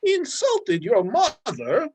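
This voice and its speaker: A woman's voice: on the high side, huge pitch swings, slow, a little mellow, slight background noise, exaggerated voice. A woman playing a solemn, formal Frenchman, an indignant companion to a marquis, in a radio play. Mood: incredulous. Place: remote reading over a video call.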